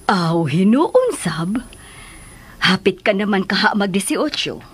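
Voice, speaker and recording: Very husky, slow motherly Filipina. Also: medium pitch, very animated, heavily acted. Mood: advising. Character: motherly older confidante, called Ma'am, advising calmly. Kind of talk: Filipino radio drama acting.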